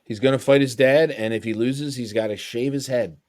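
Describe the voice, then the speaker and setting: A man co-hosting a podcast, quick and joking in casual chat. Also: mid-range voice, steady tone, darkish tone, no room sound. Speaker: podcast co-host.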